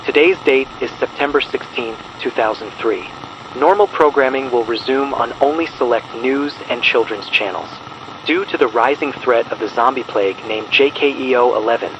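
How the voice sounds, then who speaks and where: A man's voice: mid-range voice, natural voice. A man acting as a cold military announcer, reading a formal, impassive and menacing emergency broadcast. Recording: dull, noisy radio-like broadcast.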